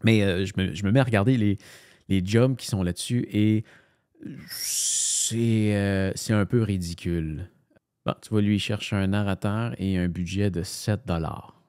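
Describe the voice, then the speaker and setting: A man's voice: lowish voice, fairly even intonation, a little slow, a little mellow, clean tone, no echo, studio quality. A man with a Canadian French accent, a voice-over narrator, chatting casually and rambling with many fillers and restarts as he thinks aloud. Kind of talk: casual screen-share commentary.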